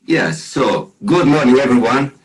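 A man's voice: mid-range voice, big pitch swings, slightly rough, rough recording. A middle-aged Italian man with an Italian accent, chatting casually as a podcast guest over a video call from a room at home. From Italy. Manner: jokey, chatty, with filler pauses. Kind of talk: casual interview talk.

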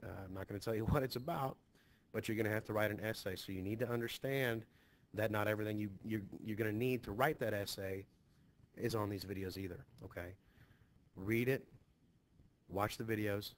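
A man's voice: on the low side, brisk, pauses often. A man speaking as a teacher, giving an informal, conversational and reassuring introduction to a lesson. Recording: noticeably hissy home screen recording.

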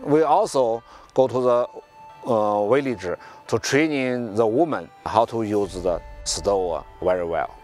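A man on stove project staff explaining the program in halting, non-native English. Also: on the low side, darkish tone, soft background music. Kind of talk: interview.

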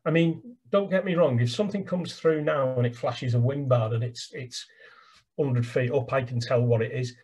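Husky English man on a video call. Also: medium pitch, moderate pace, darkish tone. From England. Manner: enthusiastic, gesturing, repeats words. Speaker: birdwatching guide. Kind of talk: casual video call chat. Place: small room at home. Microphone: webcam on a video call. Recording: laptop video call audio.

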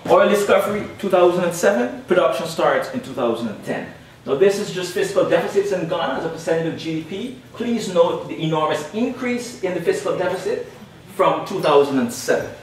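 A man's voice: high-pitched, expressive, brisk, few pauses. A young man from Guyana giving an academic panel presentation, emphatic and repeating key points with frequent "all right?" check-ins. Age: young adult. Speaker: panel speaker. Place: lecture room at a panel event. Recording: very echoey room audio from far off with faint noise.

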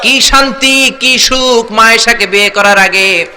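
A man's voice: very high voice, measured pace, a crowd in the background, noisy background. A man preaching an Islamic sermon, telling stories emphatically and declaiming as he prompts listeners to respond. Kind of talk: fervent sermon storytelling with call-and-response to a crowd.

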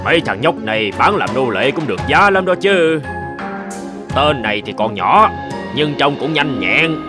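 A man's voice: mid-range voice, lively intonation, normal speed, over music, natural voice. A man voicing a brash, cruel debt collector in a fairy-tale cartoon, sneering and threatening like a bully. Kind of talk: animated fairy-tale acting.

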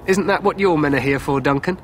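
A man's voice: on the high side, brisk, slight background noise, natural voice. An actor playing an eager, self-assured young warrior king in a fantasy game, regal and declamatory. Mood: confident. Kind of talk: dramatic fantasy game acting.

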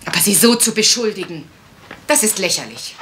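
A cool, composed gentlewoman in a radio mystery. Her delivery is dry and cutting with a very varied melody, on a faintly noisy recording. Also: mid-range voice, sing-song, a little fast. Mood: reproving. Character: cool, dry, self-possessed unmarried gentlewoman. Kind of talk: German radio crime drama acting.

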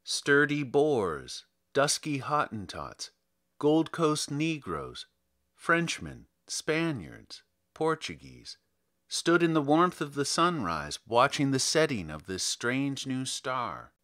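A man reads a story aloud as a dramatic narrator. His delivery is slow and deliberate, with wide, lively swings of pitch. The voice is bright and forceful, and he lingers on phrases for theatrical weight. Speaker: narrator reading a short story. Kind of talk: dramatic audiobook narration of literary fiction.

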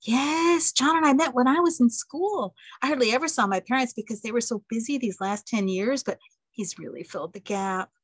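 An anxious, eager young wife, as read aloud by a woman, her voice lifting high and quick. The sound is close and dry with no room around it. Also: breathy edge, no room sound. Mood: polite.

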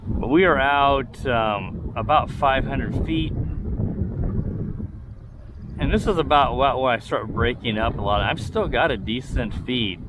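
A man's voice: mid-range voice, a little slow. A man giving casual, rambling commentary while flying a drone outdoors, with a furry windscreen on his mic. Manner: chatty, rambling, many fillers and restarts. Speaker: drone reviewer. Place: outdoors in a windy field. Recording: loud wind noise in mic.

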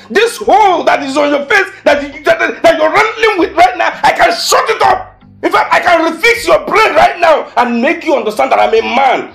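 An actor playing a struggling, hot-tempered young man who swings between pleading and shouting. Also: high voice. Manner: pleading then shouting in bursts. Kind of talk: Nigerian film drama acting.

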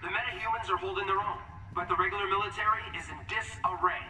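An actor playing a harried television field reporter, giving an urgent live report in a video game. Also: male voice, high-pitched, fairly even intonation, quick pace, sharp tone, faint music behind. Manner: urgent, raised voice. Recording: tinny distant TV broadcast, echoing.

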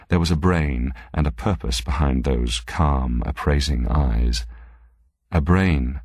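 A man narrating literary fiction as an audiobook reader, in a measured, deliberate way. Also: rumbling low, darkish tone, slightly rough, no echo, studio quality.

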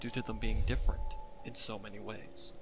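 A man's voice: on the low side, level tone, a little fast. A man reading scripted fan-fiction narration as the storyteller. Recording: music loud behind the voice. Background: music.

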